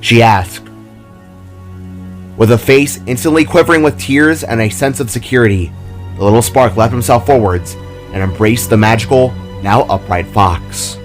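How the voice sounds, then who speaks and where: A man reading scripted fantasy narration in a measured, dramatic storytelling manner. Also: medium pitch, soft background music.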